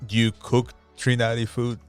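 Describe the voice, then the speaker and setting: A man's voice: medium pitch, completely flat delivery, fairly quick, soft background music. A man who hosts an interview, asking questions and affirming the guest's answers. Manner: brief affirming backchannels. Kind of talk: conversational interview.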